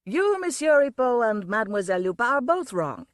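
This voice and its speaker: A woman's voice: fairly high pitch, very animated, brisk, no room sound, studio quality, pitched above the performer's own voice. A woman voicing a supercilious, languid circus giraffe boy who speaks in a haughty, aloof way.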